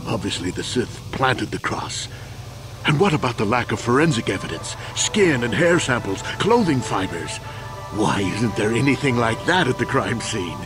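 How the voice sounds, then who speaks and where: An old male war veteran from a video game, voiced by an actor. He protests emphatically, his mid-pitched voice rising and falling in lively swings. Music runs underneath. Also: normal speed, lightly acted. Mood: indignant. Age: older. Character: crippled old male war veteran, indignant, pleading innocence.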